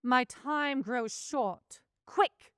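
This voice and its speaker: Slow, solemn female Christmas spirit played by an actress. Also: high voice, sharp tone, clean tone, no room sound, clean studio sound, exaggerated voice. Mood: probing, stern. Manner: measured, questioning, stern. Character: solemn, probing Ghost of Christmas Past, a spirit. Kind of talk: radio drama acting.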